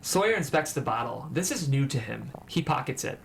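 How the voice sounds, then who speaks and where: A man's voice: mid-range voice, lively intonation, brisk. A man reading scripted stage directions as the narrator of a table read. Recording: clear background noise.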